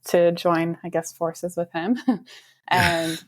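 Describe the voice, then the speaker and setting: A woman in her thirties being interviewed on a podcast. Her voice sits a bit low and she talks in an easy, relaxed way, laughing lightly and filling pauses with ums. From United States. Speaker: realtor guest. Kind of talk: casual interview chat.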